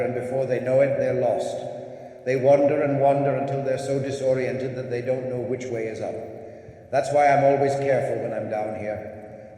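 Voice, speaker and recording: Wary rat catcher in dark cellars, played by a man, brooding and hushed, heavy hall echo. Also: medium pitch, lightly acted. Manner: brooding, cautious, drops to hushed tones. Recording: echoey reverberant hall.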